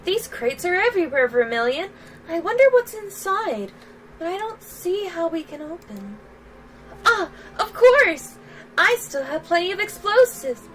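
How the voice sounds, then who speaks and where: A woman voicing an excitable, cheerful young sidekick from a video game, bubbly, eager and wide-eyed. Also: very high voice, a little slow, a little hiss or hum, full character voice.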